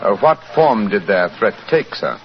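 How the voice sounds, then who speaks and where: Gentleman sleuth: assured, courteous, upper-class English, very expressive delivery, dull 1940s radio sound. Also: lowish voice, measured pace. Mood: probing, resolute. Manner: crisp, formal, politely probing. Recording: muffled 1940s radio recording.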